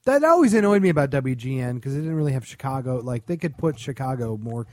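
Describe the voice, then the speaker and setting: A man's voice: mid-range voice, animated, quick pace, dry recording. A man co-hosting a podcast, chatting casually in a sardonic, opinionated way. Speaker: podcast co-host. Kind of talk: casual chat about film and television.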